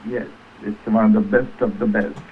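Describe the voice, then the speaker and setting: A man's voice: lowish voice, level tone, normal speed. A man in his eighties from Tanzania, talking conversationally as an interview guest over the phone. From Tanzania, East Africa. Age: 80s. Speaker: guest, reflexology practitioner. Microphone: phone call. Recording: noisy, tinny phone line.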